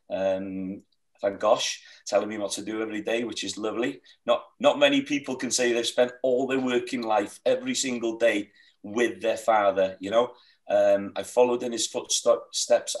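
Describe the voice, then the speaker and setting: A man's voice: on the low side, distant mic. A middle-aged Welsh man whose first language is Welsh, describing his hill farm with earnest, heartfelt passion on a video call. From Wales. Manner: earnest, heartfelt, rambling with restarts. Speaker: sheep farmer guest. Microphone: webcam on a video call.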